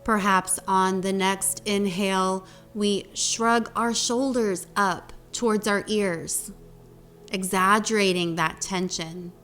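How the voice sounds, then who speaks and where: Soft music plays behind a woman guiding a meditation. She speaks very slowly and gently at a medium pitch, leaving long pauses between short phrases as she instructs the listener. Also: fairly even intonation. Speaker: meditation teacher and guide. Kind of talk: guided meditation.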